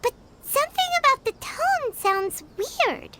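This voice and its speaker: A woman voicing a tiny, chirpy and nosy female fairy companion in a video game, excitable and gasping as she talks. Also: very high voice, slow, heavy background noise, full character voice. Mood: curious. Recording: game audio with loud music underneath. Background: game music.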